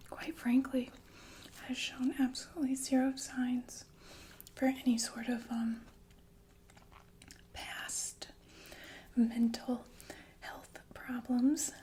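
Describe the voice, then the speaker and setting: Woman performing a killer nurse, ASMR roleplay, breathy whispering, high feminine pitch, genteel, deliberate, falsely soothing, quietly menacing. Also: flat delivery. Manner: slow, deliberate, genteel, with pauses and murmured asides.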